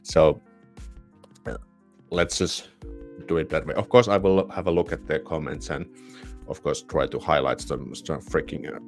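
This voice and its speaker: A man with a Finnish accent hosts a live stream, his voice sitting very deep. He rambles casually and pokes fun at himself, restarting half-said words. Soft music plays faintly behind him. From Finland. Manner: rambling, chatty, self-deprecating, with false starts. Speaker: YouTuber hosting a live Q&A.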